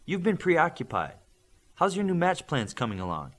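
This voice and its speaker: A man's voice: a little high, wildly swooping pitch, normal speed, voice pushed up. A man voicing a confident, teasing young woman, a superhero student in an audiobook. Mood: teasing, curious.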